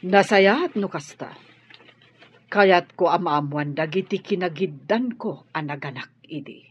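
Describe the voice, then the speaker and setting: A woman's voice: fairly low pitch, a little slow, husky. A woman playing an earnest, well-off madam in an Ilocano radio drama, searching for her past. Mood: earnest, wistful. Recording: dull sound with music behind.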